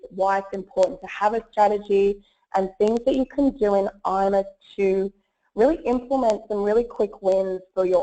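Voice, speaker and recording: A woman with a croaky voice from a cold, giving an online presentation with frequent fillers. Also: mid-range voice, dark tone. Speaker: webinar presenter, solutions consultant. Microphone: web conference audio. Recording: muffled lo-fi web call audio.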